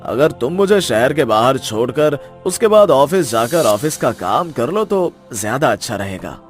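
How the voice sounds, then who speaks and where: A man's voice: mid-range voice, very animated, normal speed, faint music behind, lightly acted. A man acting a haughty, controlling father-in-law. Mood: sarcastic, condescending. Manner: condescending, mock-polite, commanding. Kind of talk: dramatized Hindi moral story.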